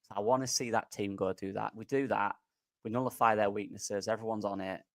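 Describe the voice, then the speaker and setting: A man's voice: on the low side, fairly even intonation, fairly quick, a bit bright, dry recording. A man joining a casual football chat as a guest, earnest and emphatic, repeating his points. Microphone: remote stream call.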